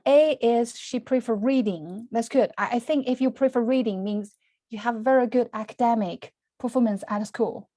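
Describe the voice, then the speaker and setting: Woman teaching in english, mellow voice, dry close sound, lots of restarts. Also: a little high, normal speed, dry recording. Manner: frequent restarts and soft laughs; speaks English as second language, simple grammar. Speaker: Mandarin teacher. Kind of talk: online class talk.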